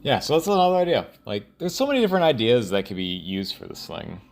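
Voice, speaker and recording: A man thinking aloud in a rambling, casual audio log, halting and often trailing off mid-thought. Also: medium pitch, sing-song, creaky. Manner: casual, profane.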